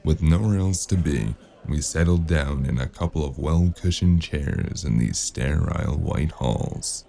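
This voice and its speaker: A man acting as a hard-boiled detective with an extremely low, creaky voice. He is worn out and laconic, delivering deadpan noir lines in a dark, mellow tone for an audio drama. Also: measured pace, full character voice. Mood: brooding narration. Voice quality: gravelly. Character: weary wounded noir detective, gruff, dry-witted, narrating his case.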